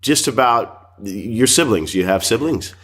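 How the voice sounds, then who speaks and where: A man's voice: medium pitch, lively intonation, measured pace, fairly bright tone, slightly rough. A man co-hosting a podcast, interviewing in a casual, conversational way and encouragingly echoing back what he hears.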